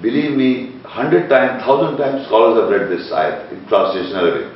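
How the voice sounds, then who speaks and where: A man's voice: medium pitch, moderate pace, slight background noise. A man explaining scripture to a questioner in an earnest, insistent way, repeating his points. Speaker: Islamic teacher. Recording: echoey, distant, dull recording.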